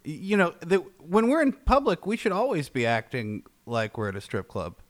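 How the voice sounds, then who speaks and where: A man's voice: a little high, very animated, moderate pace. A man co-hosting a podcast with casual comedic banter, wry and given to absurd exaggeration. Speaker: podcast co-host.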